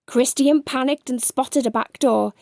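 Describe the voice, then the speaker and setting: A woman's voice: sits high, steady tone, a little slow, creaky, a little mellow, no room sound, clean studio sound. A woman storyteller reading a children's bedtime story aloud.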